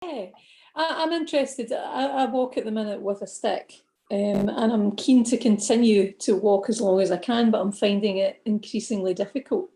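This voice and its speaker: A woman's voice: mid-range voice, moderate pace, mellow, echoey room, low-quality recording. A woman on a video call who asks the panel questions in an earnest, hesitant way. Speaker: patient asking a question.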